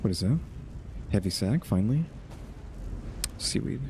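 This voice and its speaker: A man giving casual, low-key gameplay commentary as a streamer, thinking aloud as he plays. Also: lively intonation, unhurried, noisy background. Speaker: gaming streamer.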